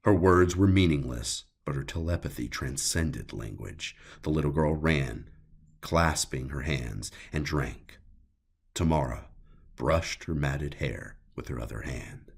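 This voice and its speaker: A man with a gravelly, husky voice narrating a scripted horror story dramatically, in short broken phrases. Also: a bass voice, unhurried. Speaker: audiobook narrator.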